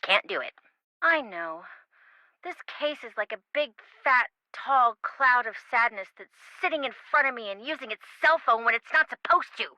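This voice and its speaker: A woman's voice: fairly high pitch, expressive, moderate pace, pushed and bright, no room sound, rough recording. An actress playing a sassy young woman, a wisecracking best friend in a video game, with a teasing, sarcastic manner. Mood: wry, sympathetic. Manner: teasing, sarcastic, dryly exaggerated.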